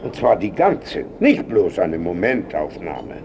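An old man with a low, very animated voice, proud and cantankerous. He lectures and grumbles like someone used to being obeyed, in a radio play with clear noise behind him. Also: a little slow, lo-fi sound, heavily acted. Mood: musing, lecturing. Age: older. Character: imperious, cantankerous old male painter, blind and proud. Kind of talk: radio drama acting.

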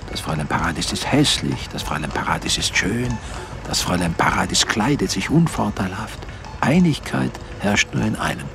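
An actor playing a self-assured, fastidious 18th-century Viennese doctor, musing wryly in a radio drama. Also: male voice, sits low, gravelly. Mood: gossipy, clipped. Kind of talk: radio drama acting, interior monologue.

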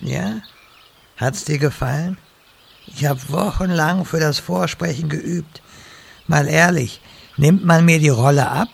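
Man acting as touchy grand wizard, slightly high voice, unhurried, theatrical, slangy when flustered, fantasy parody audio play. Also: gravelly, breathy edge, exaggerated voice. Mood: sheepish, casual.

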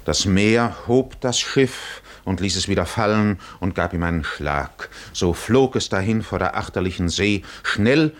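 A man as the solemn, poetic narrator of a 1950s German radio drama, measured and dramatic. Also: lowish voice, close to an everyday voice. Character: solemn poetic storyteller of a sea voyage.

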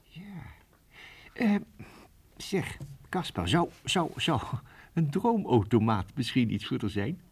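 A man playing an absent-minded, enthusiastic inventor professor with a husky voice and halting, stammering speech in a children's radio play. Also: medium pitch, very animated, darkish tone, exaggerated voice. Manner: halting, stammering, repeating words.

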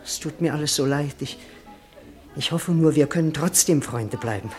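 An actor playing a naive, lovestruck young man who speaks effusively and sometimes drops to hushed tones in a radio play. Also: a little high, moderate pace, faint music behind, natural voice. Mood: gushing. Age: young adult.

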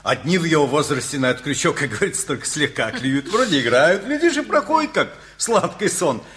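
An actor playing a harried, good-natured factory official nearing fifty in a radio play, with a folksy, philosophizing manner. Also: male voice, on the high side, big pitch swings, brisk, fairly bright tone, breathy edge, heavily acted. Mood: reflective. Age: middle-aged.

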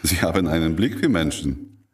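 Mild, hesitant doctor, low lively voice. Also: male voice, moderate pace, recorded from a distance. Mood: gentle. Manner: hesitant, polite, stammering. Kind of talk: radio drama acting.

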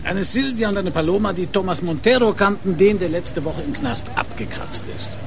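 A man's voice: sits high, animated, normal speed, noisy background. An actor playing an upright, terse male police detective in a radio crime drama, matter-of-fact.

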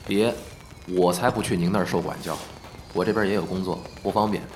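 Low-voiced, breezy, teasing film star acted by a man. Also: steady tone, fairly quick, a little hiss or hum, lightly acted. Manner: teasing, casual, playfully breezy. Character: teasing, laid-back male film star, fond of juniors. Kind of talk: modern Chinese audio drama acting.

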